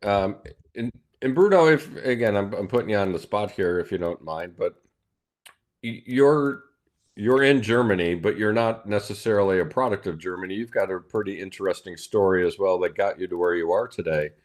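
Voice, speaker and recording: A man's voice: lowish voice, moderate pace. A man hosting a video call meetup, upbeat and encouraging in casual chat. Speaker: host.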